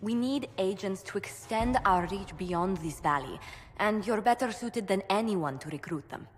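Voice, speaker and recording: An actress playing a poised female ambassador who speaks in a gracious, measured, formal way. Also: medium pitch, lively intonation, in a large hall, natural voice. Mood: composed. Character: poised female court ambassador, polite and diplomatic.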